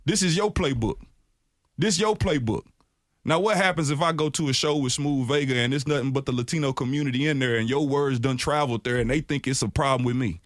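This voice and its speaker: A man's voice: mid-range voice, a little fast, no room sound. A man from Oak Cliff, Dallas, a rapper talking with passion as a guest in a radio studio. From Oak Cliff, Dallas, Texas. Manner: impassioned, animated, mimics others in raised voice. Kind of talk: freestyle and radio interview talk.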